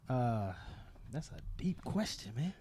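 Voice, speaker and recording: A man's voice: medium pitch, very animated, slow, mellow. An American man chatting casually as an interview guest, somewhat hesitant as he searches for his words. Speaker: guest, musician and dancer.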